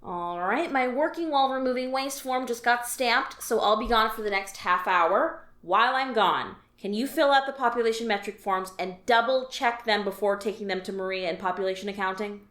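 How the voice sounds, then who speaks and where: A woman's voice: fairly high pitch, moderate pace, hardly any pauses, a bit bright, natural voice. A woman voicing a jaded female alien office worker who talks about dull work in a dry, resigned, matter-of-fact way. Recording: roomy echo.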